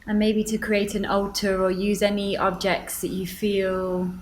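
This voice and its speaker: A woman leading a guided meditation, gently giving instructions with deliberate pauses. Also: mid-range voice, flat delivery, a little hiss or hum, low-quality recording.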